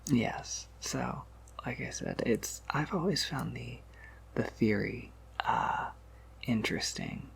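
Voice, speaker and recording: A man playing a polite, slightly scatterbrained vampire apothecary in an ASMR roleplay, whispering gently and hesitantly to the listener. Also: takes it slowly, heavily acted. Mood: musing, modest. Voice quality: breathy whisper breaking into creaky low murmur. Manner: gentle, considerate, hesitant with small asides.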